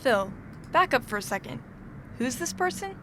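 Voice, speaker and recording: A woman acting a composed, earnest middle-aged therapist in a student audio drama, speaking in a measured, professional way. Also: high voice, very animated, background noise. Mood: earnest, sober.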